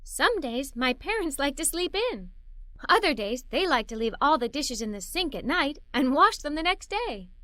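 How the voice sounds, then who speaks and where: A woman voicing an excitable, curious little girl who tells a children's story in a playful, expressive way. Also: high-pitched, normal speed, no room sound, studio quality, full character voice. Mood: cheerful, excited. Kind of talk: scripted children's story read-aloud.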